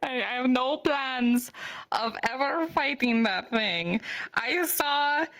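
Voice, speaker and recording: A woman's voice: sits high, expressive, takes it slowly, fairly bright tone, heavily acted. A woman roleplaying a flighty, carefree young woman in a fantasy game, talking in a casual, rambling way. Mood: animated storytelling. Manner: rambling, giggly, trailing hesitant phrasing.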